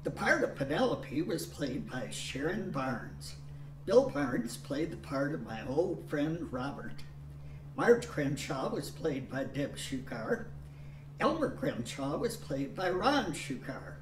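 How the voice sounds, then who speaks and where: An older man in a bow tie announcing an old-time-style radio show in a measured tone. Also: a little high, big pitch swings. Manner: slow, measured announcer delivery. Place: studio room with a dark backdrop. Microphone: stand mic on a tripod. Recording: roomy, distant, with background hiss.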